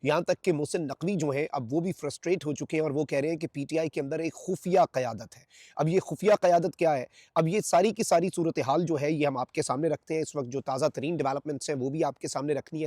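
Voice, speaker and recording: A man's voice: fairly high pitch, darkish tone, no echo, clean studio sound. A man with a Pakistani accent delivering news commentary to viewers as a channel host, in an emphatic, repetitive way.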